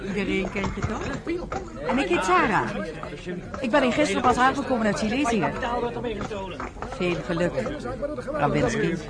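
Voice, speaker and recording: A woman's voice: fairly high pitch, animated, moderate pace, pauses often, close to an everyday voice. A woman playing a bold, cheerful young immigrant in a radio drama, with a forward, teasing manner. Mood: bold, friendly. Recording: old radio play, loud crowd behind.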